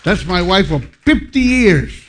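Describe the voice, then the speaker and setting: Older man preaching, slightly high voice. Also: animated, a faint crowd, slight background noise. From San Francisco, United States, born to a Filipino family. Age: 70s. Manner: halting, anecdotal, self-deprecating. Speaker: guest pastor. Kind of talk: informal sermon with personal testimony.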